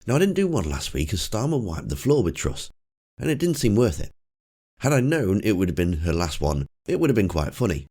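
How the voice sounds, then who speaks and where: A man with a croaky, cold-roughened voice, giving casual commentary with dry, wry asides. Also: lowish voice, sing-song, brisk, warm tone. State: hoarse from a cold. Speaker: political commentator. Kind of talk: casual commentary over clips.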